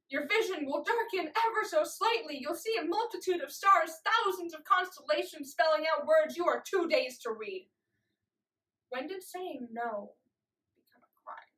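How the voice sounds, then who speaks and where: A young woman with a high-pitched voice recites slam poetry. Her delivery is dramatic and deliberate, with emphatic pauses between phrases. She is recorded at some distance from the microphone. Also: a bit bright. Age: young adult. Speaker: slam poet. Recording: voice distant from the mic.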